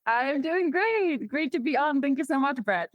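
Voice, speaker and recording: A woman's voice: high voice, animated, moderate pace, no echo, lo-fi sound. A woman in her thirties from the Czech Republic, speaking with a Czech accent and chatting in an upbeat way as a podcast guest. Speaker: guest, marketing executive. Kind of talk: podcast interview.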